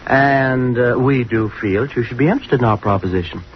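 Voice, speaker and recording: An actor playing a snobbish, sarcastic young fraternity president in an old-time radio drama, with a dismissive, slangy drawl. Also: male voice, medium pitch, fairly quick, lightly acted. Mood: smug, boastful. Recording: muffled, noisy old radio recording.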